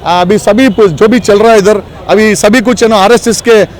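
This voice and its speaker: A man from answering a reporter at a street protest, halting and stammering, with English fillers mixed in. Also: high voice, fairly even intonation. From Karnataka, India. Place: outdoor street protest in a city.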